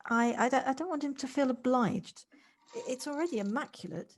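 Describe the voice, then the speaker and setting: Actress as effusive, encouraging woman, higher voice. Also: brisk. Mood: concerned. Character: effusive, encouraging woman, theatrical and tenderly sympathetic. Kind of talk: amateur audio drama acting. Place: recorded at home on a personal device.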